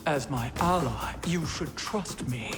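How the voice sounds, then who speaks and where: A woman's voice: sits low, takes it slowly. Commanding warrior queen, formal and curt, dramatic game cutscene read, heavy score underneath. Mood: stern, commanding. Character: stern, commanding four-armed female Shokan warrior queen. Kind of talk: dramatic video game acting. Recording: loud music behind the voice.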